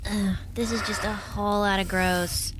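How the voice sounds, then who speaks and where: A woman's voice: mid-range voice, little pitch movement, normal speed, background noise, close to an everyday voice. An actress playing a squeamish, eager-to-help young woman with gushing, slangy talk in an audio drama. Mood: squeamish, chirpy.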